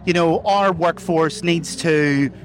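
Earnest Irish man, fairly high voice. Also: slow, slight background noise. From Ireland. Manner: earnest, corporate phrasing with many fillers. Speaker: corporate sponsor representative. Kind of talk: live interview answers. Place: outdoor festival grounds.

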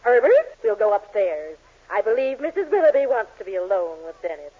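Husky, animated bossy matron. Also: female voice, mid-range voice, a little fast. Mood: conniving. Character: bossy, inquisitive, conniving married woman, neighborly confidante. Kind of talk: 1940s radio sitcom acting. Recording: thin, phone-like 1940s radio recording.

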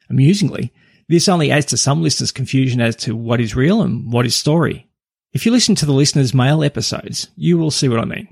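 A man with a husky, gravelly voice hosting a podcast, reading a scripted review. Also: medium pitch, dry recording, clean studio sound. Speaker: podcast review show host. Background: faint music.

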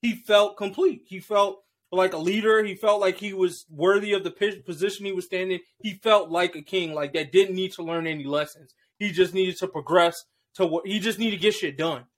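A man co-hosting a podcast in a relaxed, casual chat, speaking loosely and slangily and often tagging "bro" onto his lines. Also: high voice, moderate pace, few pauses. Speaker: podcast co-host. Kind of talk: casual chat about shows and movies.

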